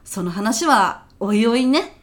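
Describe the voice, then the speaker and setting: A woman's voice: on the high side, animated, slow. A woman playing a brash, chatty former gal in her early thirties who sells real estate, talking in casual slang in a comedy radio drama. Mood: confiding. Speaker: actor in an audio comedy sketch.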